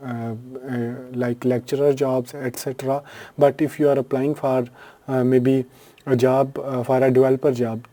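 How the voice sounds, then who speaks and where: Male tutorial host, Urdu first language, deep mellow timbre, unscripted and filler-heavy. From Pakistan. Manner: unscripted, frequent fillers and self-corrections. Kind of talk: screen-share tutorial walkthrough.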